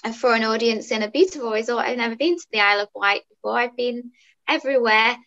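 A bright-voiced woman in her thirties, talking in a chatty, upbeat way full of small fillers. Her voice comes over a dull, lo-fi video call, a touch above the usual pitch for a woman. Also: fast. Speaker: guest singer. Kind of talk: casual interview chat.

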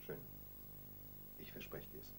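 An actor in a German radio crime drama playing a reluctant, conscience-stricken pharmacist husband who tends to yield. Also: male voice, takes it slowly, noisy background, natural voice. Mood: resigned.

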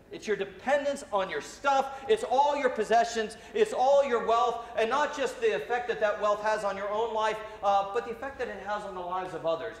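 A man in his thirties, a priest giving a sermon in a large church, speaking conversationally with frequent "uh" fillers. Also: sits high. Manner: gestures broadly while walking about.

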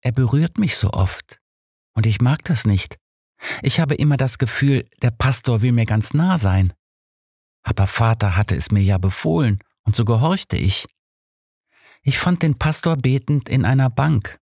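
A man's voice: mid-range voice, animated, measured pace, darkish tone, no echo, studio quality. Man reading as a hurt, frightened young girl. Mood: uneasy, confiding. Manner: hesitant, plain childlike wording. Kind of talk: audiobook character reading.

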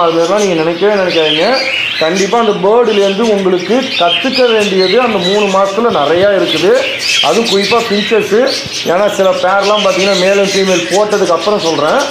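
High-voiced Tamil man at bird farm. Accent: Tamil Nadu. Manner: talks to viewers directly, walks through costs one by one. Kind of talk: casual how-to explainer. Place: bird farm, amid birds. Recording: echoey, loud background noise. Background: birds chirping.

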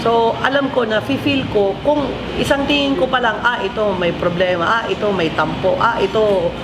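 A woman's voice: on the high side, fairly quick. Firm middle-aged woman coach, noisy restaurant. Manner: firm, earnest, mixing Filipino and English. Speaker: head coach. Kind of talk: sit-down interview. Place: busy restaurant indoors. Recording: loud background noise.

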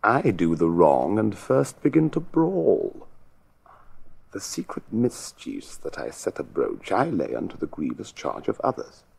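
A sly, gravelly, villainous duke. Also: male voice, sits low, huge pitch swings, unhurried, darkish tone, exaggerated voice. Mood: sly gloating. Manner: sly, sardonic. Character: scheming, mock-pious villainous royal duke. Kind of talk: theatrical Shakespearean acting.

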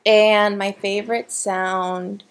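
A woman's voice: mid-range voice, level tone, takes it slowly, a little hiss or hum. Young woman student, hesitant intro. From North Carolina. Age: young adult. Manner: hesitant, ums and likes. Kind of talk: casual self-introduction. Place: classroom. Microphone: laptop webcam.

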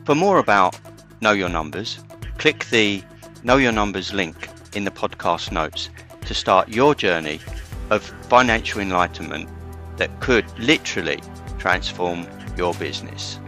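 A man's voice: low-pitched, expressive, unhurried, pushed and bright, faint music behind. A man hosting a podcast, reading a scripted, upbeat introduction and sponsor message. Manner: upbeat promotional delivery. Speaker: podcast host.

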